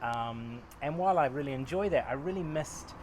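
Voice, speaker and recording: A man in his forties with a New Zealand accent, talking casually about his work as a researcher and acupuncturist, relaxed and self-deprecating with many fillers, recorded outdoors on a veranda with a lapel mic. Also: medium pitch, moderate pace, a little hiss or hum. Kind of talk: casual interview answers.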